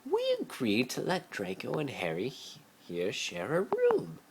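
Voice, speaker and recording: Man putting on a higher-voiced, gracious mother. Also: huge pitch swings, a little slow, creaky, exaggerated voice. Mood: coaxing. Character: gracious middle-aged mother, polite and coaxing. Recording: noisy amateur phone recording.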